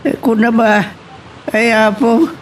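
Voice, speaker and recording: A man's voice: very high voice, fairly even intonation, slow pace, a little hiss or hum, low-quality recording. A man playing a caring, fussing father of a grown daughter in an audio drama. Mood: concerned.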